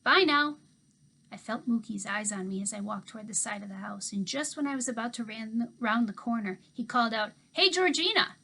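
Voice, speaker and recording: A woman teacher reading a children's novel aloud to her class, expressive, dropping to a hush for asides. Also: medium pitch, quick pace, vocal fry, few pauses. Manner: expressive storytelling, hushed asides.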